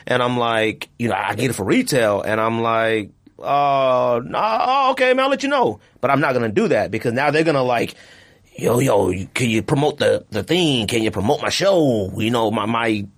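A man hosting a podcast in casual chat, slangy and animated, jumping up into high asides. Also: normal speed. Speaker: podcast host.

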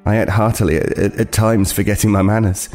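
An actor playing an uneasy homeless man who narrates his horror tale in a halting, brooding way. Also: lowish voice, steady tone, a little mellow, natural voice. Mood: haunted, halting. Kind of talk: dramatic first-person horror narration. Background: music.

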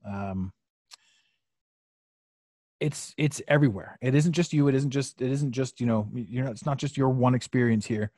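Male podcast host, middle pitch, dry close sound, loose rambling delivery full of fillers. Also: moderate pace, long pauses, warm tone, no echo. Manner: rambling, repeats himself, many fillers. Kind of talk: casual live podcast chat. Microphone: podcast mic on a live stream.

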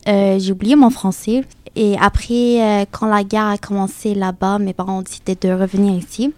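A twenty-one-year-old woman with an accent from years in Syria, introducing herself hesitantly with many pauses. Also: medium pitch, steady tone, dry recording. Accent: accent shaped by ten years in Syria. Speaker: student guest. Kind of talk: casual group discussion.

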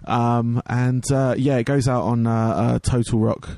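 A hoarse young man hosting his radio show and podcast, chatting casually with lots of ums and restarts. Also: mid-range voice, little pitch movement, measured pace, mellow, dry recording. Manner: rambling, many ums and restarts. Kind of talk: casual chat.

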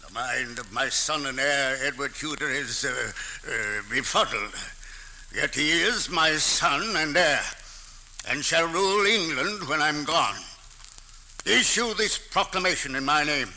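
Stern king, very forceful, sharp. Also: on the high side, lively intonation, measured pace, slight background noise, exaggerated voice, poor audio. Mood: stern, decreeing. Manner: authoritative, declamatory archaic speech. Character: stern, commanding English king and father. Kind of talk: old-time radio drama acting.

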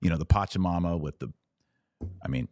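A man's voice: low-pitched, a little fast, darkish tone, no room sound, clean studio sound. A man hosting a podcast, giving emphatic, argumentative religious commentary in a partly improvised way, leaning on key words.

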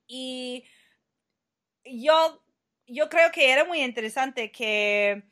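A woman from New Mexico interviews her guest and gently encourages her to keep talking. Her voice is high and her pace is slow, with plenty of ums and small pauses. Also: animated. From New Mexico, USA. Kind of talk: casual conversational interview.

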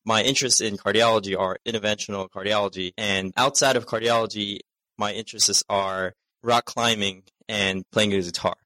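A man's voice: on the low side, fairly even intonation, moderate pace, bright, forceful tone, no room sound, low-quality recording. A man in his thirties from Malaysia, chatting and presenting as a medical podcast guest. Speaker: cardiology fellow, podcast guest. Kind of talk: conversational medical presentation and chat.

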